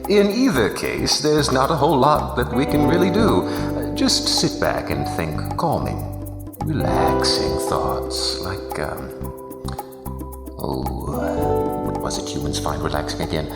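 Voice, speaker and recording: A man's voice: medium pitch, sing-song, measured pace. A man playing an absent-minded inhuman announcer on a horror show, formal and rambling, with hesitant phrasing. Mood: befuddled, soothing. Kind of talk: mock public announcement. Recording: very echoey hall, loud music behind.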